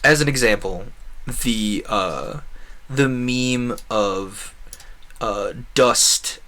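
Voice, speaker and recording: Bright-voiced man rambling while gaming. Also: on the low side, drawn-out words. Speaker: game streamer. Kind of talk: casual chat while playing a game.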